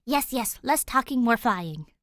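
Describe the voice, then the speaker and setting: A woman's voice: on the high side, animated, measured pace, a bit bright, dry recording, studio quality, pitched above the performer's own voice. A woman voicing a cheeky, reckless teenage girl, playful and mischievous, in an audiobook story. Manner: mischievous, flippant, giggly. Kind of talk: audiobook character dialogue.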